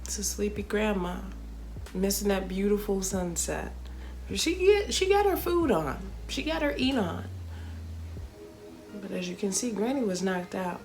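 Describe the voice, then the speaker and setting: A woman from Chicago, a comedian, narrating a travel story in a chatty, animated storytelling way with playful asides. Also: medium pitch, unhurried, faint music behind. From Chicago, United States.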